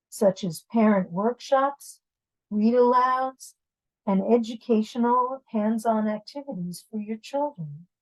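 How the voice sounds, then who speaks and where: A woman's voice: fairly high pitch, a little mellow, rough recording. A woman storyteller reading and talking informally to families, explaining things directly to young listeners. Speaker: read-aloud storyteller. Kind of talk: picture book narration and informal address to families.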